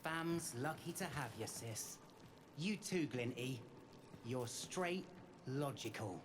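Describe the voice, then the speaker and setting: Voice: medium pitch, animated, slow, background noise. An actor playing a loyal, wistful young hoverboard-gang kid in a video game, with slangy, informal speech. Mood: proud, affectionate.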